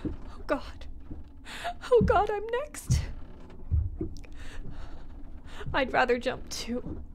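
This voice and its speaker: A woman's voice: a little high, full character voice. An actress playing a female ship captain recording her last log entries, frightened and dropping into whispers. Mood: terrified. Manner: frightened, breaking into whispers and cries. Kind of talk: audio drama acting.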